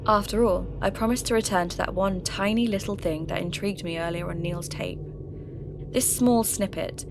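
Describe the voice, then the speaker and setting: A woman playing a sceptical, intrigued local radio host who investigates ghost stories in measured, scripted podcast narration. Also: lowish voice, noisy background, lightly acted. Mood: intrigued, uneasy. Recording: music bed under voice.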